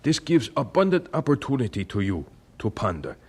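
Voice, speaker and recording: An actor playing a devout, calm and patient orphanage founder, solemn and deliberate, in an old radio drama. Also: male voice, mid-range voice, husky. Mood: serene faith.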